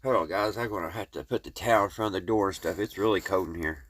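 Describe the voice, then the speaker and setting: A man's voice: low-pitched, quick pace, fairly bright tone. A man with a Kentucky Southern accent talking casually and plainly to his viewers, in a folksy, rambling way as he works with his hands. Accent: Kentucky Southern American. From Kentucky, United States. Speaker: host of a hobby repair video channel.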